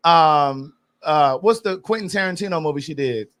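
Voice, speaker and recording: A man's voice: a little high, moderate pace, fairly bright tone. A man co-hosting a casual chat, chatty, reading out viewer comments and laughing along. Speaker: podcast co-host.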